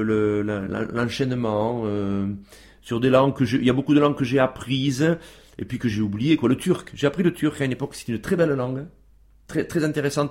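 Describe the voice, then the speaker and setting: A man's voice: medium pitch, darkish tone. A man as a guest on a radio show, talking casually with many fillers and restarts.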